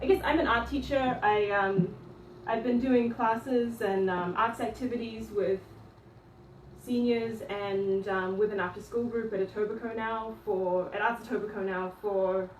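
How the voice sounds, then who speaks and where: A woman from New Zealand, an art teacher, chatting casually through a livestream tour of her studio, often pausing with ums and restarting her sentences. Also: medium pitch, steady tone, slight background noise. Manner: hesitant, many ums and restarts. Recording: echoey room, voice from a distance.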